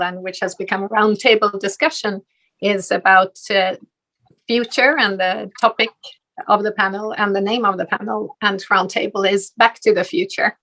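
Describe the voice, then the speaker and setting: A woman moderates a live panel at an event, introducing guests. She hesitates often, filling pauses with "uh". Her pitch sits in a middle range. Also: a bit bright. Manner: hesitant, many fillers. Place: event stage.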